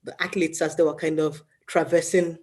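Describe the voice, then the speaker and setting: Relaxed female guest from Nigeria, deep and warm tone, loose conversational delivery. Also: normal speed. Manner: relaxed, fills with "you know". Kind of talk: casual interview answers.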